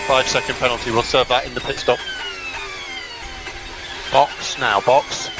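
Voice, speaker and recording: A man's voice: medium pitch, level tone, measured pace. Man on a very noisy race team radio. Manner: matter-of-fact, procedural. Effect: team radio transmission. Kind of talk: clipped radio instructions with repeated calls. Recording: noisy car team radio, loud engine whine.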